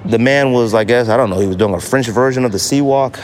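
A man's voice: mid-range voice, fast talker, slight background noise. An American man hosting a casual solo podcast, talking in a slangy, emphatic way and repeating phrases for effect. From United States. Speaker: podcast host.

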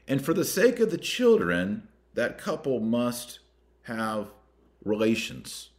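An American man hosting a podcast, reading and commenting in an emphatic, deliberate way that stresses key words and names. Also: mid-range voice, very animated.

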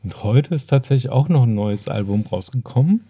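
Guy on a podcast just chatting, dark soft-edged voice, very dry recording. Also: medium pitch, expressive, fairly quick, slightly rough, no room sound. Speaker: podcast co-host. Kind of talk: casual podcast chat.

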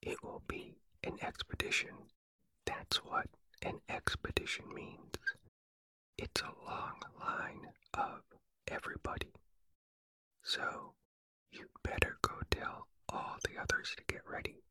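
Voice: very high voice, big pitch swings, slow pace, pushed and bright, no room sound, full character voice, rough recording. A storyteller voicing a kindly, confident young boy from a children's storybook in a fondly instructing whisper. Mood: assured, instructing.

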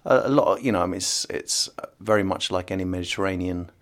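A man's voice: low voice, fast. A middle-aged man from the UK, a podcast guest talking casually with many fillers and restarts. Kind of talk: casual interview conversation.

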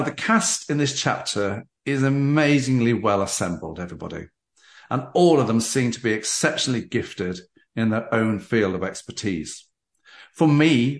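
A man hosting a book interview show, speaking in an effusive, theatrical and deliberately phrased way. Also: lowish voice. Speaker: podcast host interviewing an author. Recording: roomy, echoing sound.

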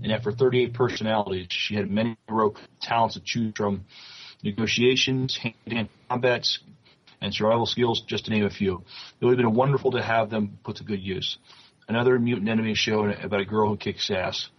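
A man with a husky, fairly low voice co-hosts a podcast. He chats casually and reads news haltingly, often repeating or stumbling on words. The audio is slightly dull and lo-fi. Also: fairly even intonation. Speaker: podcast co-host.

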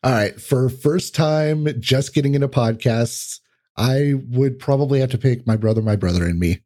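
A man with a dark, relaxed voice at a middle pitch speaks as a guest on a podcast. He explains things casually and conversationally. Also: normal speed.

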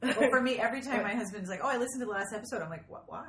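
A woman's voice: a little high, rapid-fire. A woman hosting a podcast conversation, enthusiastic and blunt, with a comic way of telling things. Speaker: podcast host interviewing. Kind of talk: casual, lively conversation. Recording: roomy echo, crowd murmur.

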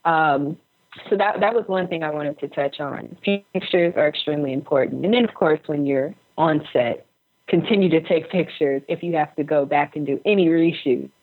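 A woman's voice: low-pitched, moderate pace. A woman giving casual advice as an interview guest, heard over a dull lo-fi call. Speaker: interview guest, actress and filmmaker. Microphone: remote call.

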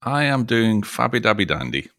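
A man's voice: lowish voice, sing-song, normal speed, a bit bright, no echo, studio quality. A middle-aged Scottish man chatting as an interview guest, jokey and talkative, often restarting his sentences. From Scotland. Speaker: podcast guest, oil industry executive. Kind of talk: casual interview chat.

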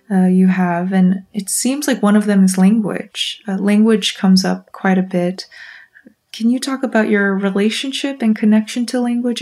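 A woman interviewer asking curious, measured questions. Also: medium pitch, warm tone.

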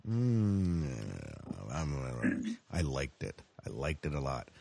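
A man's voice: very deep voice, very animated, slow, mellow. A man co-hosting a podcast, chatting casually in an enthusiastic, rambling way as a fan of sci-fi shows. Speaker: podcast co-host.

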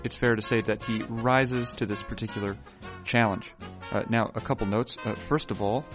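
A man hosting a podcast, talking in a wry, halting way full of fillers, sounding sleepy early in the morning in a hotel room. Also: on the low side, steady tone, over music. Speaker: podcast host. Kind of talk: rambling podcast intro and chat.

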